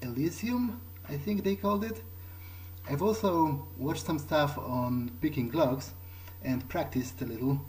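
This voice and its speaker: A man role-playing his character in a video game playthrough, thinking aloud in a hesitant, halting, musing way. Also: a little high, a little mellow, a little hiss or hum. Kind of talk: improvised in-character monologue over a video game.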